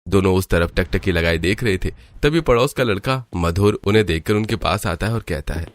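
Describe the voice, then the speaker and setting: A man's voice: deep voice, a little fast, lightly acted. A man narrating a scripted cartoon story. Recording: music behind the voice. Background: background music.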